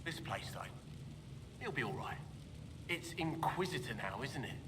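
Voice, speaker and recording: An actor playing a weary, dependable craftsman in a video game, talking in gruff, clipped, plain phrases. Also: big pitch swings, unhurried, background noise. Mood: weary, resolute. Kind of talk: video game character dialogue. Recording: distant voice over rumbling ambience.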